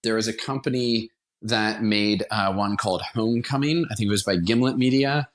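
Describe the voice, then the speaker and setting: A man with a fairly low-pitched voice talks as a podcast guest. He is enthusiastic and conversational, explaining things in a casual interview style. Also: moderate pace. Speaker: interview guest, radio theater producer.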